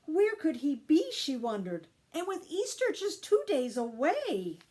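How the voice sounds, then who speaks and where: A woman reading a children's story aloud with expressive, deliberate emphasis. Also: high-pitched. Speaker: storytime reader, teacher.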